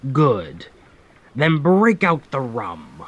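A man playing a stern, commanding pirate captain with gruff protectiveness in an ASMR roleplay, speaking deliberately and with authority. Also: wildly swooping pitch, lo-fi sound, exaggerated voice. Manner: slow, deliberate, authoritative, phrases trailing low.

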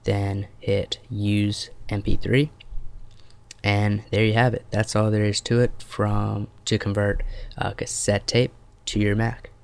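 A man's voice: fairly low pitch, level tone, slow, creaky. A man narrating a step-by-step computer tutorial as its host.

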